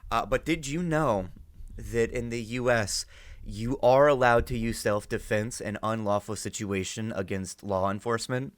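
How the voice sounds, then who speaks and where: A man's voice: medium pitch, normal speed, dry recording. A man hosting a reaction video with casual, rambling, informal commentary.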